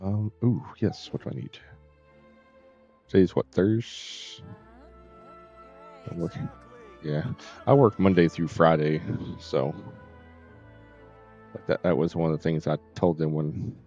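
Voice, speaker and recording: A man's voice: low voice, steady tone, slow pace, pauses often, dark tone. A middle-aged man live streaming a game, chatting casually in a rambling, easygoing way. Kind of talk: casual chat while gaming. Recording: dull, slightly muffled stream audio. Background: faint game music.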